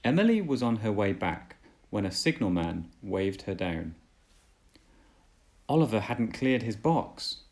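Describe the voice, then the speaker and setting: A man reading a children's storybook aloud as a dad would, with deliberate, dramatic storytelling. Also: lowish voice, sing-song, a little mellow.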